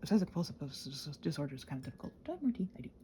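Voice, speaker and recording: A woman's voice: sits low, big pitch swings, racing, dark tone, a little hiss or hum. A woman rambling casually and unscripted, scattered and self-deprecating, often trailing off, sounding tired and congested with a sinus infection. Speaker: solo host of a rambling audio channel.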